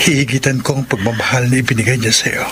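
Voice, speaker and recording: A man's voice: medium pitch, steady tone, fast, lightly acted. An actor playing an earnest young man in love in a radio drama, with a Filipino accent and English mixed into his speech. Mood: ardent, pleading. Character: earnest young scriptwriter in love with an older woman. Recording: radio drama with clear background noise.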